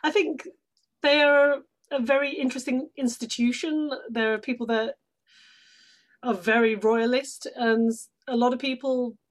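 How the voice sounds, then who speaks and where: A woman from London, chatting casually as a guest in a measured, thoughtful way. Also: high-pitched, fairly even intonation. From London, England. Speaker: interview guest, actress. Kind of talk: casual chat.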